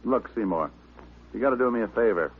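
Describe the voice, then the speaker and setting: A man's voice: fairly low pitch, slightly rough. A male actor with an American accent playing a sardonic, wisecracking private detective in an old-time radio drama. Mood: brisk, businesslike. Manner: sardonic, quick with deadpan insults. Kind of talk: old-time radio drama acting and first-person narration. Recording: muffled, narrow-band old radio recording.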